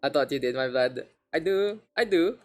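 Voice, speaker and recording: A man's voice: a little high, lively intonation, moderate pace, soft background music. A man with a Filipino accent casting an esports match on a live stream, chatty and rambling, often repeating himself. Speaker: esports caster.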